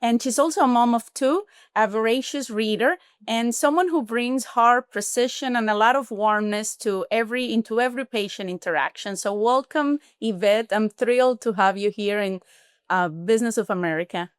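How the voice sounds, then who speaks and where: A woman hosting a podcast interview, enthusiastic, her phrasing slightly halting. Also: fairly high pitch, smooth. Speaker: podcast host.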